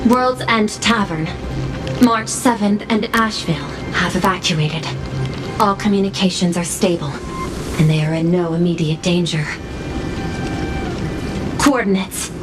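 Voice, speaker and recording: A woman's voice: medium pitch, natural voice, poor audio. A woman voicing a coolly logical android analyst in a video game, reporting in a clinical, formal way with clipped labels before statements. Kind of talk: scripted game dialogue. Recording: game audio under loud music.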